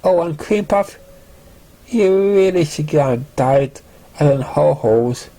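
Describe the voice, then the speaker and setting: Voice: medium pitch, expressive, unhurried, warm tone. A narrator voicing a brash, protective young pony who threatens and insults cockily in a storybook reading. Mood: cocky scorn.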